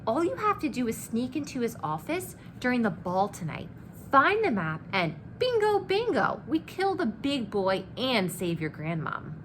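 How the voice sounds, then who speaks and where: Woman reader as a brash male giant killer from a children's book, breezy and swaggering, swooping pitch, noisy room. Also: wildly swooping pitch, normal speed. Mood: scheming, excited. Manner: boastful, breezy, persuasive. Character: cocky, greedy, scheming man, a storybook giant killer. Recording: clear background noise.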